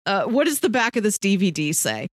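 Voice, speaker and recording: A woman co-hosting a podcast, playfully pitching and chatting about films, chuckling as she talks. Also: very animated, fairly quick, dry recording, clean studio sound. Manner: chuckles mid-sentence, playful. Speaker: podcast co-host. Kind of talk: casual film podcast chat, pitching films and reading blurbs.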